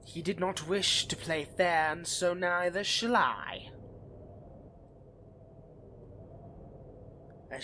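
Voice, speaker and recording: A man's voice: on the high side, fairly bright tone, a little hiss or hum. A man playing a suave, scheming vampire aristocrat in a dramatic roleplay addressed to the listener, with courtly, haughty, theatrical phrasing. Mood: scheming, seductive.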